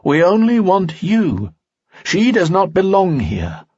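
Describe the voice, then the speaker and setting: A man's voice: high voice, wildly swooping pitch, slow, darkish tone, husky, no echo, voice pushed up, exaggerated voice. A man voicing a cold, commanding undead spirit man who speaks in a stern, menacing way. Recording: dull lo-fi recording.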